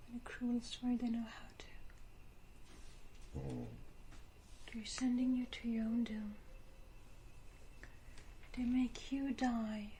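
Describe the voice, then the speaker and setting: A woman playing a sinister, wounded yet condescending female vampire in a whispered ASMR roleplay, speaking deliberately with theatrical pauses. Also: fairly high pitch, level tone, dark tone, a little hiss or hum, close to an everyday voice, rough recording. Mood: mocking pity. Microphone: close ASMR microphone.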